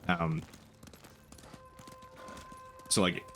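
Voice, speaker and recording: A young man with a soft, soothing voice, chatting casually while streaming a game, rambling with lots of ums and casual swearing. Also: drawn-out words, slight background noise. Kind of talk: casual gaming stream chat.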